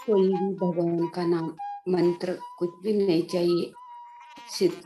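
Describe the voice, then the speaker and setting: A woman teaching guided meditation, giving gentle step-by-step instructions with pauses. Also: on the low side, level tone, music in the background.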